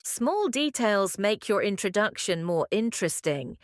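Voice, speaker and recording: Female podcast teacher, clear friendly delivery, animated pitch, very unhurried. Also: no room sound, clean studio sound. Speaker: podcast co-host and English teacher. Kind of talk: scripted English lesson dialogue.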